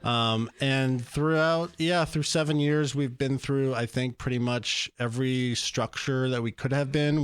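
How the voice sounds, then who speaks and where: A man's voice: medium pitch, clean tone, no room sound, clean studio sound. A man speaking casually on a panel about his own life, hesitantly searching for his words. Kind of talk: casual personal storytelling.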